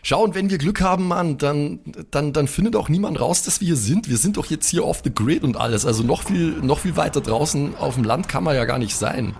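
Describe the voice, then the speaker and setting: A man's voice: on the high side, animated, brisk, gravelly, hardly any pauses, a little mellow, a little hiss or hum. A man playing a laid-back young guy who consoles his friend in hesitant, rambling talk peppered with English slang. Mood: reassuring, pleading.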